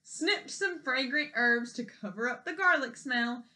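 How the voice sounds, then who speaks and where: Female storyteller for young children: crisp bright tone, higher pitch, expressive and explanatory delivery. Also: measured pace. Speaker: storyteller reading a picture book aloud. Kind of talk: expressive children's read-aloud with questions to listeners.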